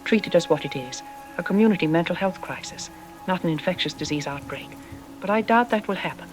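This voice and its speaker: A woman playing a self-assured psychologist on a radio drama interview, explaining in an articulate, didactic and calmly dismissive way. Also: on the low side, brisk, lightly acted, rough recording. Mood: firm, resigned. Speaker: expert interview guest. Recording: music playing under the voice. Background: background music.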